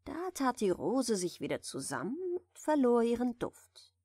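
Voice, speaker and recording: A woman reading a fairy tale softly and soothingly as a bedtime audiobook narrator, in a measured way. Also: fairly high pitch, big pitch swings, husky, no room sound, studio quality. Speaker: audiobook reader of fairy tales.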